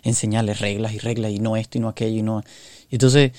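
A man's voice: on the low side, level tone, fast, a little mellow, dry recording. Male co-host, earnest and teaching, recorded dry and close. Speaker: podcast co-host.

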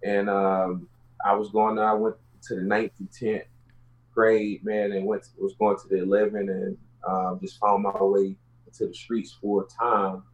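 A man with a fairly deep voice and a Houston Southern accent speaks as an interview guest. He tells personal stories in casual, streetwise language. The audio is a little muffled and lo-fi. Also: flat delivery, measured pace. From Houston, Texas, United States. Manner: colloquial, streetwise slang.

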